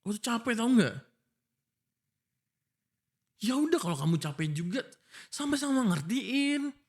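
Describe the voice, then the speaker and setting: A man's voice: very high voice, sing-song, unhurried, slightly rough. A young man with an Indonesian accent, voicing a frustrated, pleading boyfriend in an ASMR roleplay.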